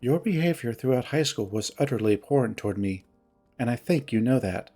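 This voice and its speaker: A man's voice: medium pitch, animated, a little fast, warm tone, soft background music. A man voicing a stern, wounded young female animal character in a story, speaking in a curt, formal, accusing manner. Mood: cold, dark.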